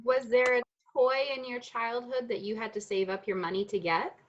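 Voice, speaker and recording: A woman's voice: a little high, steady tone, normal speed, lo-fi sound. A woman hosting a storytime, casually asking questions and relaying comments from viewers. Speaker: bookstore storytime host.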